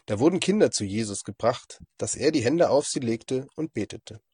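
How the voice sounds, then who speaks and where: A man with a husky voice, hoarse from a cold, giving a calm, measured daily devotion as a lay preacher. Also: medium pitch, no echo, studio quality. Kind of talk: devotional reflection.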